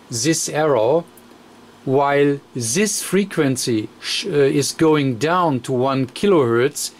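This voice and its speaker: A man demonstrating a radio repair at his workbench, talking haltingly with many fillers and counting aloud as he adjusts. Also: mid-range voice. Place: electronics workbench.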